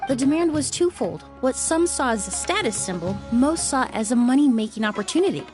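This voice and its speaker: Game role: young female perfumer, composed, modest, courteous and explanatory, fairly high voice over faint music. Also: lively intonation, a little fast, a little mellow. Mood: storytelling. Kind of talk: video game voice acting.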